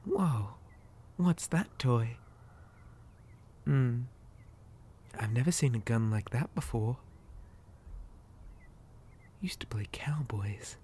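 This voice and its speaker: A man playing a creepy, possessive living doll boy in an ASMR roleplay, soft and often whispering, with gentle, unsettlingly polite childlike sweetness. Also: mid-range voice, expressive, takes it slowly, long pauses, husky. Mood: playfully menacing.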